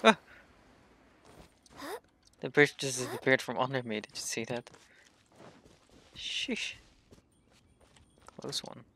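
A man's voice: mid-range voice, slow, pauses often, pushed and bright, slight background noise. A young man streaming a game, chatting casually with viewers and commenting on his play. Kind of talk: casual live stream chat and gameplay commentary.

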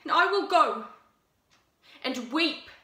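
A woman's voice: high voice, expressive, slow, bright, forceful tone, voice pushed up, heavily acted. A young woman playing a lovestruck young woman, declaiming impassioned Shakespearean verse in an audition. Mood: passionate. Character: young woman vowing loyal love. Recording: echoey room.

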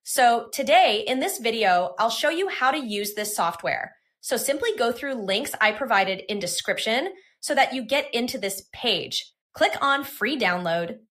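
A woman presenting a software tutorial in scripted, instructional, step-by-step narration. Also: on the high side, lively intonation, a little slow, few pauses.

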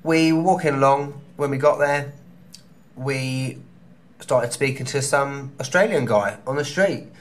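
A man in his thirties with a London accent, chatting cheekily through a travel advice vlog from a small hotel room, camera held close. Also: mid-range voice. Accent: London English. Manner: chatty and cheeky, quick asides. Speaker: travel vlogger. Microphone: camera held close at arm's length.

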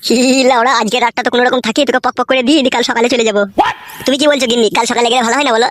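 A man's voice: very high voice, fairly even intonation, racing, a bit bright. A man voicing a brash, coarse young village husband in a comic cartoon. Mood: teasing, lewd. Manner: coarse, swearing, boastful.

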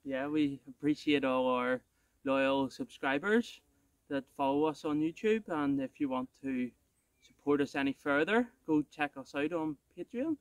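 A man's voice: on the high side, measured pace, no echo. A man co-hosting a casual homestead vlog, giving informal updates in short, clipped phrases. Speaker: vlogger, homestead co-host. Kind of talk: casual work update to viewers. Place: outdoors on a rural farm.

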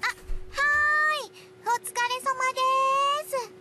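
A woman's voice: very high voice, steady tone, slow pace. A woman voicing a cheerful, sweet, childlike schoolgirl in an anime visual novel, with music playing underneath. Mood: cheerful, polite.

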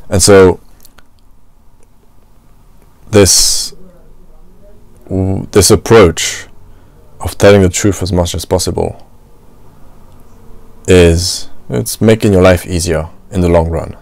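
A man's voice: sits low, fairly even intonation, slow pace, gravelly, pauses often, darkish tone, no echo. A man from France with a French accent, hosting a solo podcast and thinking aloud, often adding "you know" and "right". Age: adult, works at a restaurant. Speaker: podcast host. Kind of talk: reflective solo monologue.

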